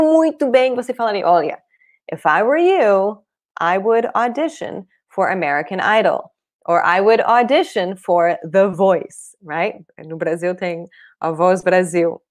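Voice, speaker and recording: Slow-paced woman teacher, native English speaker. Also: medium pitch. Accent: English-speaker accent in Portuguese. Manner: clear, deliberate explaining with repeated examples. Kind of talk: live online language lesson. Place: small room indoors, at a desk.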